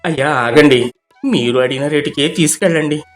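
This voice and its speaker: A man's voice: fairly high pitch, moderate pace, faint music behind, lightly acted. A man voicing a humble, anxious village poultry farmer with a respectful, pleading manner in a moral story.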